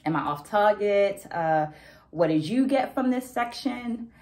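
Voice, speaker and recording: A woman in her thirties giving a reflective, deliberate explanation of a book as a vlog host, talking to camera. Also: mid-range voice, expressive, a little mellow. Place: small indoor room at home.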